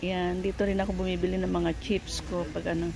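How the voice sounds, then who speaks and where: A woman's voice: lowish voice, little pitch movement, fast, gravelly, noisy background. A woman from the Philippines with a Filipino accent, chatting casually as a shopping vlogger in a large store. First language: Tagalog. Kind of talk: casual shopping vlog chat. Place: large warehouse store, indoors. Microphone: handheld phone camera, held by the speaker.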